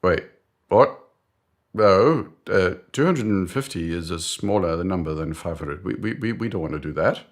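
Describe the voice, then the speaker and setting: A man's voice: sits low, animated, a little fast, few pauses. A man voicing a gullible, easily flustered company colleague in a comic sketch, with exaggerated astonishment. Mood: alarmed. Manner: exaggerated astonishment, hesitant repetition.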